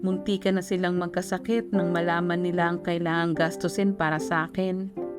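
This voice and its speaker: A woman voicing a kind, reassuring aunt with a Filipino accent, gentle and conversational, in an animated short film. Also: on the low side, normal speed, natural voice. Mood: reflective, encouraging. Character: kind, reassuring aunt, born with cleft palate. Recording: music behind the voice.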